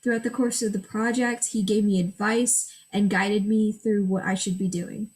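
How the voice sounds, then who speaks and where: A woman's voice: mid-range voice, fairly even intonation, moderate pace, a little mellow. A teenage girl, a native Gujarati speaker, gives a school project presentation in a mid-range voice. She reads from a prepared script and explains each screen step by step. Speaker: student presenter.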